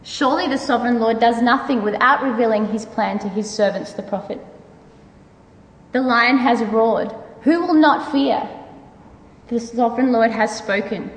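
A woman's voice: a little high, normal speed. A woman giving a dramatic scripture reading as a church member, solemn and declamatory in manner. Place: large reverberant room. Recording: distant, echoey hall with long reverb.

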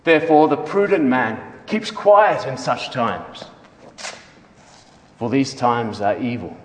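A man performing a dramatic scripture reading as a stern, wrathful God speaking through a prophet, solemn, declamatory and emphatic. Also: fairly high pitch. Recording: echoey hall with long reverb.

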